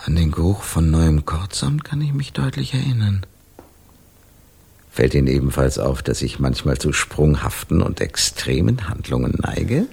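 An eccentric Parisian composer without a memory, in radio-play style. The voice is gravelly and rough, with hugely mobile intonation. He sounds haunted and theatrical, moving between hushed brooding and outbursts. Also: very deep voice, very animated, normal speed, full character voice. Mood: anxious, insistent. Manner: theatrical, swinging from hushed musing to sudden outbursts. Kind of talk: German radio play acting.

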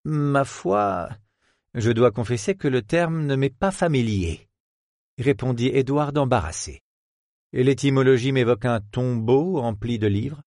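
Man voicing a courteous male detective, measured, mid-pitched, dry sound. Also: expressive, darkish tone, no room sound. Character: polite, witty male detective in his prime.

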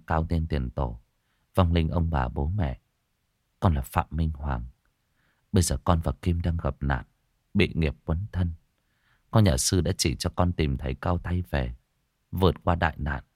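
A man's voice: very deep voice, unhurried, pauses often, darkish tone, no room sound, studio quality. A man voicing a troubled young man in an audiobook, speaking in an earnest, weary and respectful way. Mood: solemn pleading.

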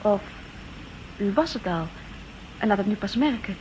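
An actress playing a composed, loyal secretary in a 1960s radio drama, polite and reserved but quietly probing. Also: female voice, mid-range voice, lively intonation, normal speed, close to an everyday voice. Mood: probing, concerned. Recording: noisy 1960s radio recording.

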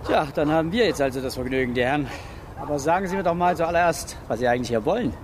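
Bemused village policeman played by a man, highish voice. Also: expressive, quick pace, noisy background, lightly acted. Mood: bemused, patient. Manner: colloquial, explaining simply, hesitant repetitions. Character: village policeman, patient, bemused, slightly overwhelmed. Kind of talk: radio drama acting.

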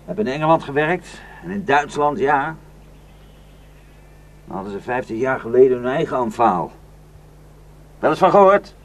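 A man's voice: mid-range voice, lively intonation, normal speed, pauses often, natural voice. An actor in a radio drama playing a weary, bitter, well-travelled man who grimly warns of atrocities. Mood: strained, exasperated. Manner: grim, cursing, rambling storyteller. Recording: noisy radio play recording.

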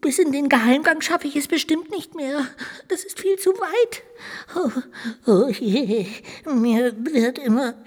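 A woman playing a curious, mischievous little ghost in a children's audio drama, musing to itself with hums and exclamations, breathy. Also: sits high, animated, slow. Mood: dizzy, anxious. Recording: long hall reverb.

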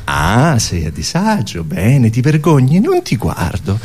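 A man's voice: mid-range voice, wildly swooping pitch, takes it slowly, darkish tone, slightly rough, heavily acted. A man playing a patronizing, soothing hypnotist who echoes answers and probes step by step in an absurdist radio comedy sketch. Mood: soothing, probing. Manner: repeats answers, questions step by step. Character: probing, patronizing male hypnotist, soothing and insistent.

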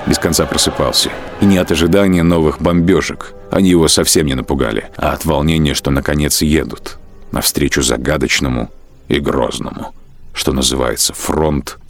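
A man narrating a radio drama in a measured, grave storytelling style. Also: very deep voice, steady tone. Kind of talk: steady literary narration for a radio play.

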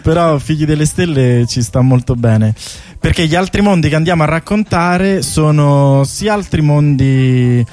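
A man's voice: fairly high pitch, moderate pace, a little mellow. A man speaking as a guest in a casual radio interview, explaining things in a rambling, hesitant, informal way with repeated words. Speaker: guest artist presenting a bike tour project. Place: radio studio. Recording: music playing behind the voice.

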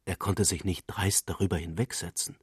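A man's voice: low voice, fairly even intonation, normal speed, slightly rough, dry recording, clean studio sound. A man reading literary prose as a scripted narrator.

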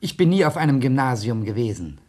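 A man's voice: medium pitch, very animated, brisk, darkish tone. A man acting in a German radio play as a polite, hesitant writer in his twenties who stammers when flustered. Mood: earnest, puzzled. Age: 20s. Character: hesitant, well-bred 27-year-old writer gentleman, privately tutored.

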